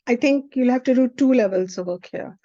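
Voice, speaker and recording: A woman whose first language is Hindi, teaching a spiritual class in an unhurried way and often checking in with the listener. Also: a little high, mellow, no echo. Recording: dull lo-fi recording.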